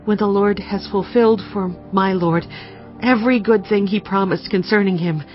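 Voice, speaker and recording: A woman acting a humble, wise noblewoman in a scripture audio drama. She speaks earnestly and reverently in measured phrases at a medium pitch. Music runs behind the slightly muffled recording. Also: close to an everyday voice. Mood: earnest pleading.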